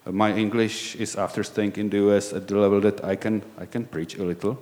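A man in his forties with a Czech accent, a lay preacher giving a sermon in a halting, candid way, often searching for words. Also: fairly low pitch, level tone, darkish tone, big-room sound. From Czech Republic.

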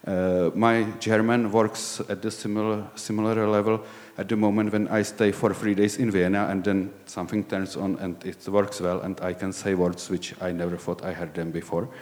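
A man in his forties with a Czech accent, a lay preacher giving a sermon in a halting, candid way, often searching for words. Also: deep voice, little pitch movement, a little mellow, in a large hall. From Czech Republic.

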